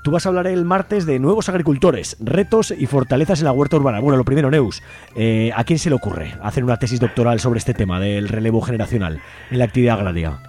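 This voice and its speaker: Brisk male radio host. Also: mid-range voice, a little mellow. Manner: brisk, leans on tag questions. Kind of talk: radio interview, conversational.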